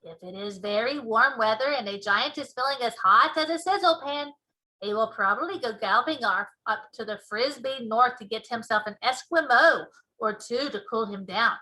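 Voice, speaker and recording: Woman voicing a folksy, excitable friendly giant, bright and high. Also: moderate pace, low-quality recording. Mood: explaining. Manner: muddled grammar and invented words. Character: big friendly giant, male, folksy, muddled-worded, excitable. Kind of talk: children's storybook read-aloud.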